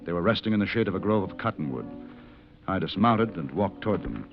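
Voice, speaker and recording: An actor playing an unflappable American gun-for-hire in an old radio western, dry and measured. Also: male voice, deep voice, expressive, vocal fry, over music. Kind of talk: old-time radio western acting and narration. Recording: muffled vintage radio recording.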